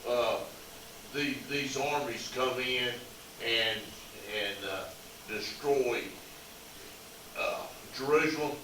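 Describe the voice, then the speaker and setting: A man in a study group speaks haltingly, stumbling over restarts and "uh"s as he hunts for words. He is far from the mic in a strongly echoing room, with clear background noise around him. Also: mid-range voice, bright, forceful tone. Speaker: Bible study group member. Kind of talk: casual chat.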